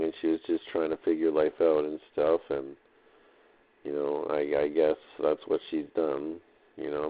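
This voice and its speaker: A man speaking in a hesitant, repetitive, loosely drifting way with laid-back slang, recording an unscripted audio diary in dull lo-fi sound. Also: a bass voice. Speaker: audio diarist.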